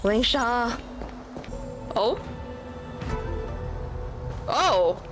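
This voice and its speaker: A woman's voice: fairly high pitch, animated, very slow. Informal woman game streamer over music. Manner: reactive, informal. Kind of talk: casual playthrough commentary.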